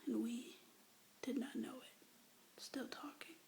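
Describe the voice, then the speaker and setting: A young woman reads love poems in a soft, breathy whisper, her voice pitched a little high and catching in a gravelly fry. Between poems she rambles hesitantly, recording close to a phone or webcam. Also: steady tone, takes it slowly. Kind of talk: whispered poetry reading, ASMR-like.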